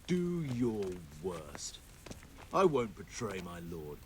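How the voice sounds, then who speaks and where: A man's voice: fairly low pitch, big pitch swings, slow, slight background noise. A man playing a defiant captured medieval soldier in a video game cutscene, curt and contemptuous in his delivery.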